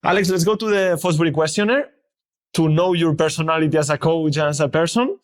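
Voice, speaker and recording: A man hosting an interview, asking questions carefully and pausing to find his words, with some restarts. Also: fairly high pitch, fairly even intonation. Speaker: interviewer, podcast host.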